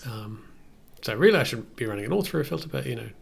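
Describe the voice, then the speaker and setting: A man's voice: medium pitch, lively intonation, quick pace, vocal fry, breathy edge. A man in his thirties chatting casually and thinking aloud as he works on a livestream, often questioning himself. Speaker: livestream host. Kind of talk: casual chat while working on music software. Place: small indoor room at a computer.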